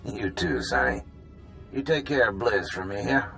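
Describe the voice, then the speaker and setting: An actor playing a good-hearted working-class salvager in a video game, folksy, drawling and earnest. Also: male voice, lowish voice, big pitch swings, moderate pace, gravelly, a bit bright, heavily acted, low-quality recording. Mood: relieved. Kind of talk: video game character acting. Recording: music behind the voice.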